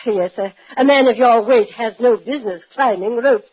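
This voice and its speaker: An old British dowager duchess of radio drama, grand and bossy with a coy streak. The voice is rough-edged and fairly high. The old recording is dull and boxed-in. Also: female voice, normal speed. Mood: coy, flustered. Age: older. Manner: haughty, commanding. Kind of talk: 1940s radio acting.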